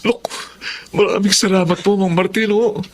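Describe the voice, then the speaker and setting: A man's voice: high voice, steady tone, normal speed. A man acting in a Filipino radio drama as a remorseful reformed addict and father, earnest and polite. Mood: humble, grateful. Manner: earnest, pleading, polite to his elder.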